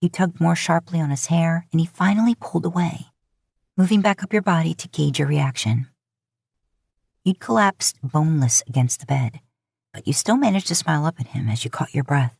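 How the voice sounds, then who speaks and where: A woman narrates a romantic story from a script, expressive and intimate. Her voice is low for a woman and a bit husky. The recording is dry, with no echo. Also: moderate pace. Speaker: podfic narrator.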